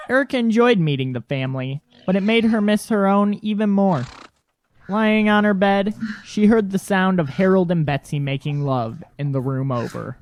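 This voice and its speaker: A woman's voice: medium pitch, normal speed, darkish tone, a little hiss or hum. A woman reading scripted narration for an audio drama.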